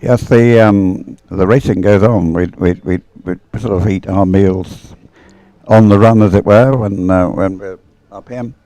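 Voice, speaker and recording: A man's voice: fairly low pitch, fairly even intonation, a little slow, mellow, no echo, low-quality recording. A man doing live sports commentary and off-the-cuff chat in a hesitant, rambling way, often repeating words.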